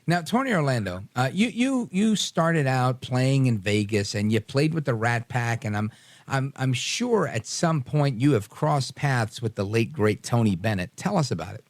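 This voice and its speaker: A man's voice: medium pitch, lively intonation, moderate pace, no echo. A man hosting a late night talk radio show, greeting listeners with energy and leaning on fillers as he talks. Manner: upbeat, hypes the audience, many fillers.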